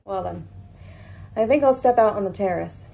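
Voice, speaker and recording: Woman playing a husky, mellow, shrewd lady detective. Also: medium pitch, moderate pace. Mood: pensive. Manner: poised, formal, dryly pointed. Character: shrewd, composed lady detective, dryly ironic. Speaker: actor in an amateur radio play. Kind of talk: radio mystery drama acting.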